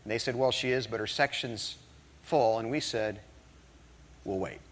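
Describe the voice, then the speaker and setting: A man's voice: mid-range voice, normal speed. A middle-aged man from the northern United States giving a humorous motivational talk, telling stories with jokes. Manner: humorous, animated storytelling with jokes. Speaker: motivational speaker. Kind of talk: storytelling keynote talk. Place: large hall before an audience. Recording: hall reverb.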